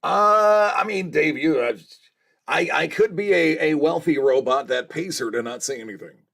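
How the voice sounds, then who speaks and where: A man's voice: a little high, normal speed, creaky, fairly bright tone. A man hosting a casual livestream, joking along and reading out viewers' chat messages. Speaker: livestream host.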